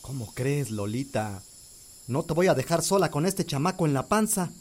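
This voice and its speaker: An actor playing a young farmer and husband who speaks calmly and reassuringly. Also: male voice, a little high, moderate pace. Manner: calm, reassuring. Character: young male farmer Gabriel, hopeful husband. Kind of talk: radio drama acting.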